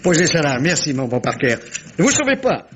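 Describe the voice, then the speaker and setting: An actor playing a shrewd, courteous, theatrical Belgian detective in a 1950s-style French radio mystery, with suave, teasing phrasing and a husky voice. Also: male voice, a little high, very animated, a little fast, noisy background. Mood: silky, probing.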